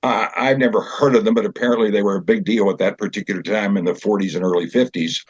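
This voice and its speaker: A man explaining a theater show as its director in an interview, in a conversational, halting way. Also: fairly low pitch, steady tone, breathy edge, no room sound. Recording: dull, lo-fi sound.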